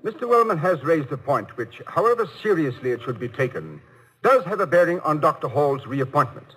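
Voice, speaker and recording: A college board chairman from vintage radio comedy, formal and exasperated. His husky, bright voice rises and falls freely as he keeps order with courteous, precise phrasing. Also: a little high, sing-song, brisk, heavily acted, low-quality recording. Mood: measured, formal. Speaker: actor. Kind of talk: 1950s radio comedy acting. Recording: vintage 1950 radio broadcast.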